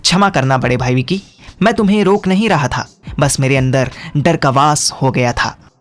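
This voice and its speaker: A man's voice: mid-range voice, moderate pace, natural voice. A man playing a cheeky, over-dramatic younger friend with theatrical delivery in a comic audio drama. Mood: sheepish. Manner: theatrical, swooping, mock-announcer delivery. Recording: music behind the voice.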